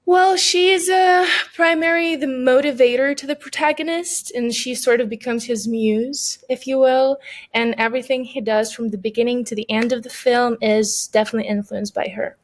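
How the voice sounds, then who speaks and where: A woman speaks as an actress being interviewed, giving conversational answers. Her voice is pitched somewhat high. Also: moderate pace.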